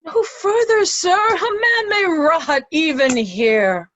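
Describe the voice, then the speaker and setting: Woman playing a slow, despairing old nobleman. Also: extremely high pitch, a bit bright, muffled recording, exaggerated voice. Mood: weary, resigned. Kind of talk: Shakespearean verse read in reader's theatre.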